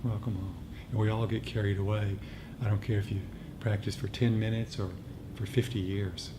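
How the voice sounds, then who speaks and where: A man leading a meditation group, speaking off the cuff in a reflective, halting way with repeated phrases. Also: lowish voice, little pitch movement. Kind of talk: unscripted reflective talk on meditation practice. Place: meditation group meeting room. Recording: noisy live room recording.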